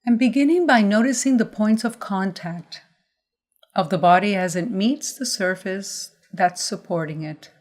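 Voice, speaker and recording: A woman leading a guided body scan meditation as a mindfulness guide, giving gentle instructions in measured phrases with pauses. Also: medium pitch.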